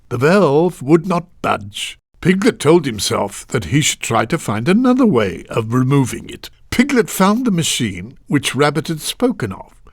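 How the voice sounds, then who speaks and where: An actor playing a gentle, curious storybook narrator for a children's game, speaking in a measured, articulate, musing way. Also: male voice, a little high, no echo, clean studio sound.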